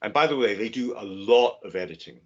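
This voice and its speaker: A man giving a measured, steady webinar lecture over a video call. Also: lowish voice. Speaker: guest lecturer. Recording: dull lo-fi video call audio.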